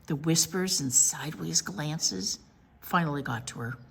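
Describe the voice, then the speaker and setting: A woman's voice: sits low, a little slow, gravelly, a bit bright, slight background noise. A woman reading a picture book aloud expressively as a children's storytime presenter.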